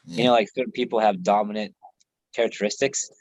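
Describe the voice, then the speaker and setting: A man's voice: on the low side, fairly even intonation, fast talker, a little mellow, poor audio. An American man chatting casually on a live video call, laid-back, laughing often and swearing freely. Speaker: host.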